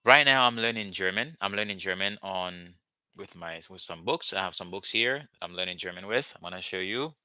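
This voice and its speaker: Haitian-accented young man as online language tutor, slow patient repeats, sharp tense tone, lowish pitch. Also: dry recording. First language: Haitian Creole. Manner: patient, repeats words slowly for learners. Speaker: language teacher. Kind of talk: live online language lesson.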